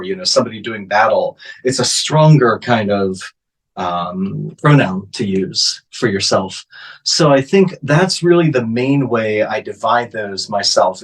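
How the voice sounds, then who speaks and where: A man interpreting for an interview guest, speaking her answers in first person in a measured way with plenty of fillers. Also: lowish voice, slightly rough, rough recording.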